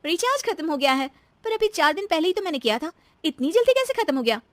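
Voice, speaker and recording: A woman acts out a young daughter-in-law from a family story. She is exasperated and dramatic, very high in pitch and swooping as she grumbles. The sound is dry. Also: very animated, quick pace, dry recording. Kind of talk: animated story dialogue.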